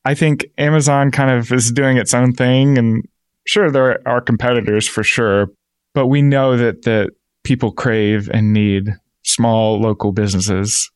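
A man's voice: mid-range voice, steady tone, unhurried, clean tone, no echo, clean studio sound. A man, a shop co-owner, explaining things conversationally as an interview guest.

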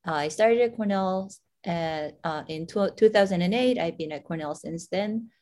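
Lower-voiced woman professor from Korea. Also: moderate pace, darkish tone. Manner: hesitant, frequent ums. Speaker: physics professor. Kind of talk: video call introduction.

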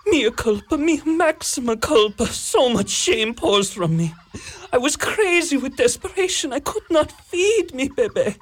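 A woman playing an ashamed, desperate young mother in a community radio play, pleading and halting. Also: high-pitched, big pitch swings.

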